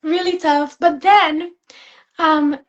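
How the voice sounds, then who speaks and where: A young woman from Spain, a fashion student, chatting in an interview, soft-spoken with many fillers and restarts. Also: high voice, steady tone, slow, muffled recording. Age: young adult. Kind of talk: casual interview chat.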